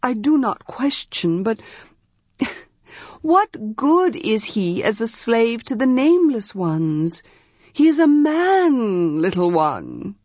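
A woman voicing an old, fussing male servant who speaks plaintively and slowly in an audiobook. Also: a little high, huge pitch swings, a little mellow, no room sound, lo-fi sound, voice pushed up, heavily acted. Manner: plaintive, slow and wheezy. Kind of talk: audiobook character voice.

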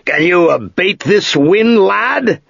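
A man's voice: sits high, lively intonation, takes it slowly, creaky, fairly bright tone, no echo, muffled recording, pitched above the performer's own voice, exaggerated voice. A man doing a bellowing stout ship's captain. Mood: gruff. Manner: bellowing, roaring over a storm. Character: gruff stout male ship's master.

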